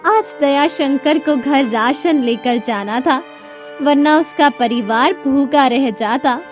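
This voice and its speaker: A woman narrating a scripted cartoon story in a dramatic, deliberate storytelling manner. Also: high voice. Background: music.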